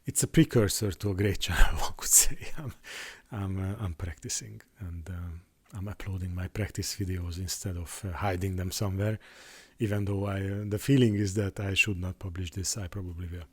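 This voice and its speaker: A man whose first language is Hungarian, talking in an unscripted monologue on his channel, halting with many restarts and fillers. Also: low voice, fairly even intonation, a little mellow, slightly rough. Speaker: YouTuber.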